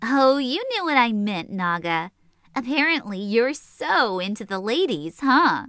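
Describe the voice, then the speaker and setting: Sharp, very high, close and dry: small teenage sorceress with a short fuse, sarcastic anime comedy teasing played by a woman. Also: very animated, a little slow, no room sound. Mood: sly, teasing. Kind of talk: comedic anime fan audio drama acting.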